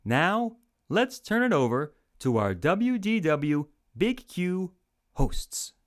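Animated higher-pitched man announcing a segment. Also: takes it slowly, a little hiss or hum. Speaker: show announcer introducing a segment. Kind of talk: scripted radio-style introduction.

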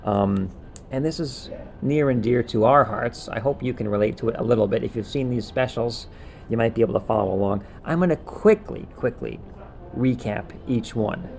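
A man's voice: mid-range voice, normal speed, vocal fry, a little mellow, a little hiss or hum. A man co-hosting a podcast, chatting casually and recapping in a rambling, offhand way. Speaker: podcast co-host.

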